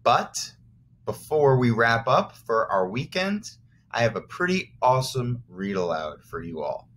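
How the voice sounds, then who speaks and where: A man's voice: medium pitch, big pitch swings, unhurried, fairly bright tone. A man teaching first graders, reading aloud expressively and encouragingly, with simple explanatory asides for young children.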